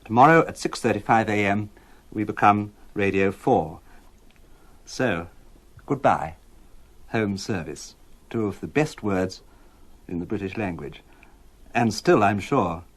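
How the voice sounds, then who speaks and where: A man playing a wistful, formal 1960s British radio announcer, speaking in a measured, understated way. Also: lowish voice.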